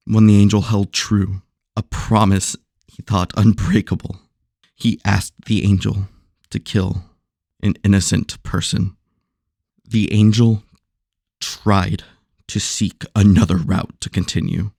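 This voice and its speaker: A man acting a solemn, slow-spoken, low-voiced fallen superhero. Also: flat delivery, vocal fry, a little mellow, no echo, clean studio sound, exaggerated voice. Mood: solemn, weary. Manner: halting, solemn, deliberate. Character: anguished, noble male superhero confessing his fall. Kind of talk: audio drama monologue, solemn storytelling.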